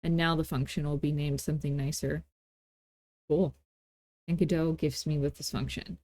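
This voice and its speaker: A woman hosting a casual, unscripted software tutorial, talking in a playful, folksy way with informal grammar and self-deprecating asides. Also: low-pitched, fairly even intonation, moderate pace, dry recording. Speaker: tutorial host.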